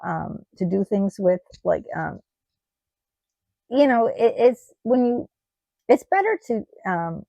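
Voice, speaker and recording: Middle aged woman vlogging, losing her voice, lots of ums, mid pitch, dry room. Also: expressive, vocal fry, warm tone, no echo, highs cut off. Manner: halting, with many ums and restarts. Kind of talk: personal vlog monologue.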